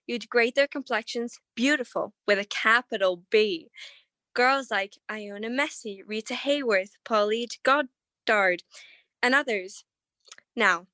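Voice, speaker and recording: A woman's voice: on the high side, bright, forceful tone, dry recording. A woman playing a polished beauty consultant delivering a persuasive, carefully phrased radio commercial. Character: polished female beauty consultant in cosmetics advert.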